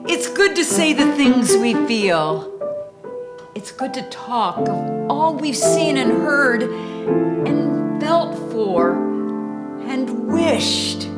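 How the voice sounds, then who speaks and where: Expressive woman reading children's poetry slowly. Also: fairly high pitch. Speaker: host and poetry reader.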